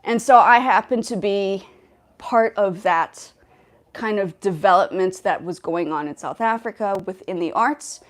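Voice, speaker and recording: A woman's voice: medium pitch, unhurried. A young woman hosting a casual livestream, talking in a rambling way with many fillers and restarts. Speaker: livestream host.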